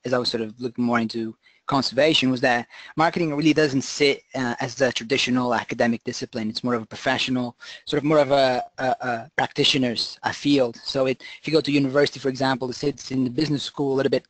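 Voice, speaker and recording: A man from Portugal gives an informal webinar lecture, haltingly repeating words as he explains. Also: mid-range voice, steady tone, fairly bright tone, no echo, low-quality recording. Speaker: guest presenter, conservation marketing researcher.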